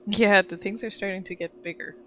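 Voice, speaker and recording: A man doing casual live game commentary, calm and wry, asking bemused questions aloud as he plays. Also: high-pitched, brisk. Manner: even-toned and calm. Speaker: game streamer. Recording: noisy stream with game ambience behind.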